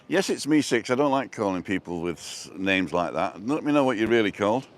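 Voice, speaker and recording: Deep-voiced British man chatting outdoors. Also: very animated, fairly quick. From born in England, lived in Wales and abroad. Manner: rambling, jokey. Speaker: travel vlogger and livestream host. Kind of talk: casual live walking-tour chat, answering viewer comments. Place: outdoors, walking along a seaside promenade. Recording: noisy outdoor street and seafront ambience.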